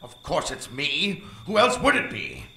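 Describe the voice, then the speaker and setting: A man's voice: fairly high pitch, wildly swooping pitch, measured pace, bright, forceful tone, exaggerated voice. A man playing a pompous, indignant research scientist in a comic radio play, with wordy, theatrical delivery, recorded live on a stage. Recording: echoey live theater stage, faint noise.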